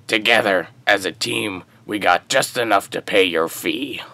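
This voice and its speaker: A man's voice: on the low side, a little slow, gravelly, pushed and bright, no room sound, exaggerated voice. An actor playing a scheming, deferential crime lord in a radio drama, formal and placating in the way he talks. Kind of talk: comic-book radio drama acting.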